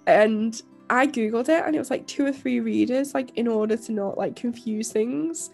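A young woman chats casually as a podcast co-host, her voice croaky and nasal from illness. There is a gravelly fry at the bottom of her voice. She rambles, restarting sentences and filling gaps with fillers, over faint background music. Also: on the high side, normal speed, a little mellow. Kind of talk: casual chat.

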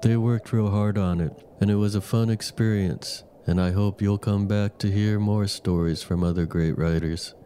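A man's voice: fairly low pitch, fairly even intonation, moderate pace, few pauses, mellow. A man casually introducing his play as its writer. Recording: faint background noise.

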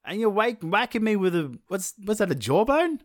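A man voicing an angry, grumpy male sun god who shouts petulant, whiny complaints. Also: sits high, very animated, normal speed, dry recording, clean studio sound, voice pushed up. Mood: outraged.

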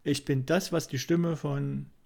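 A man from East Germany co-hosting a comedy podcast in casual banter with a deadpan delivery. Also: fairly high pitch, measured pace, vocal fry.

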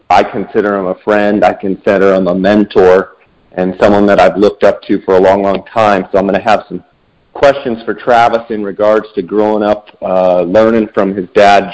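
A man with a hoarse, froggy voice from a sore throat, introducing his podcast guest in an earnest, repetitive way. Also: deep voice, darkish tone, dull audio. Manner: earnest, filler-heavy, repeats words. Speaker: podcast host.

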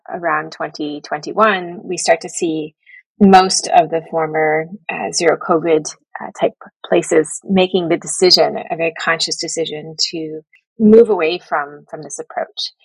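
A woman gives considered expert answers in an interview, choosing her words carefully. Her voice is on the low side and husky with some creak, recorded dry and close. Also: no echo, rough recording. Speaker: public health professor, interview guest.

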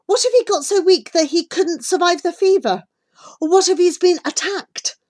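A woman's voice: high voice, expressive, takes it slowly, pitched above the performer's own voice. A woman voicing a desperate young child traveller, speaking in an urgent, pleading, emphatic way in an audiobook story.

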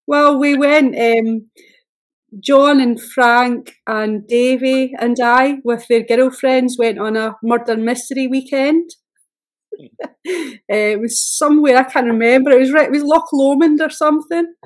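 A woman's voice: sits high, fairly even intonation, unhurried. A Scottish woman talking casually as a guest, telling stories in a hesitant, meandering way. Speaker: special guest. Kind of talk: casual storytelling.

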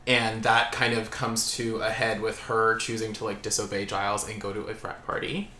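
A man co-hosting a podcast in casual chat, animated and laughing often, swearing freely. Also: on the low side, moderate pace. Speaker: podcast co-host. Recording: echoey room with faint noise.

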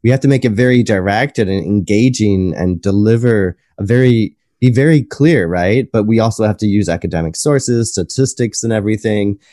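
A man, an academic researcher, talking in an animated, enthusiastic way in casual conversation. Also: lowish voice, moderate pace, few pauses, no echo, studio quality.

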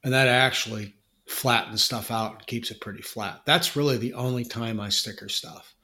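A man co-hosting a podcast, answering a listener question in a relaxed, explanatory way with frequent restarts. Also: medium pitch, moderate pace. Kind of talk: casual podcast chat.

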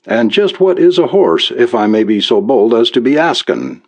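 A man's voice: mid-range voice, very animated, moderate pace, creaky, a little mellow, pitched above the performer's own voice. Man voicing a curious alien with lilting brogue. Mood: curious, amazed. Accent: Irish. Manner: breezy, inquisitive, folksy. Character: male alien visitor, curious, breezily confident, borrowed Irish brogue.

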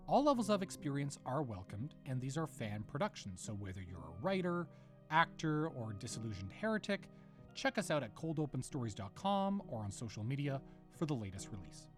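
A man's voice: mid-range voice, very animated, fairly quick. A man hosting an audio drama production, giving a friendly scripted outro with thanks and credits. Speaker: producer and show host. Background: guitar music.

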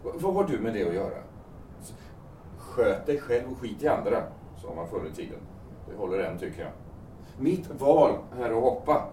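A bitter, despairing man played by an actor in an audio drama, curt and scornful. His mid-pitched voice is dark but swings widely. It is recorded from a distance. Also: big pitch swings. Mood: bitter, defiant. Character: bitter, defiant, despairing man on a rooftop ledge. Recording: roomy, distant mic with rumbling noise.

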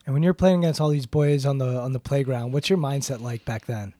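A man hosting a podcast interview, upbeat and earnest, speaking softly when he turns to his guest. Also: medium pitch, brisk, no room sound, studio quality. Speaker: podcast host.

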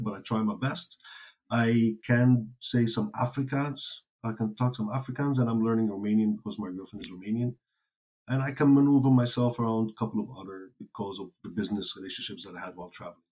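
A man's voice: mid-range voice, mellow, poor audio. A man whose first language is Dutch, answering interview questions in casual talk, with many fillers and restarts. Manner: rambling with many fillers and restarts, laughs easily. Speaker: interview guest.

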